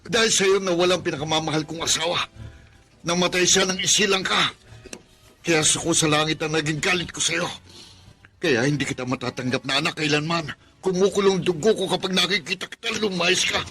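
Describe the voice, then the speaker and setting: A man's voice: fairly high pitch, moderate pace, bright, forceful tone, faint music behind. A man playing a cruel, sickly father in a Tagalog radio drama, berating and threatening in a harsh manner. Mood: furious, bitter. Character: cruel, sickly, bitter father rejecting his son.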